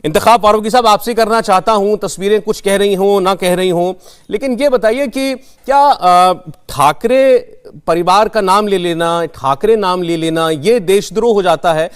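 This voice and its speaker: A man's voice: high voice, moderate pace, clear, resonant tone. A man hosting a live TV news debate, putting pointed questions and steering the speakers. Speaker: TV debate host.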